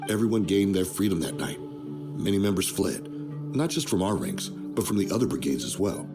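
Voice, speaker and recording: Loyal desert fighter, sober, slightly low voice, game scene with music. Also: male voice, lively intonation, normal speed. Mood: remorseful. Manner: sober, earnest. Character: male desert brigade fighter, loyal and remorseful. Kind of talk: video game voice acting. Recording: music behind the voice.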